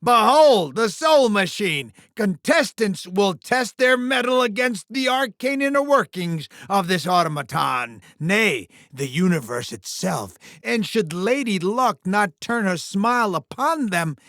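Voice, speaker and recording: A man's voice: high-pitched, lively intonation, a little slow, few pauses, a bit bright, no echo, studio quality, exaggerated voice. A man voicing a bombastic, gleefully cruel alien game-show host villain in a video game, with a hammy, grandiose announcing delivery. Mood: grandiose menace. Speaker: voice actor.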